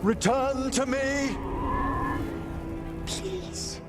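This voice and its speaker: An actor playing a refined, earnest necromancer scholar in a fantasy game, eloquent and formal with a tender manner. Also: male voice, very high voice, animated, drawn-out words, over loud music, full character voice. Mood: solemn, pleading.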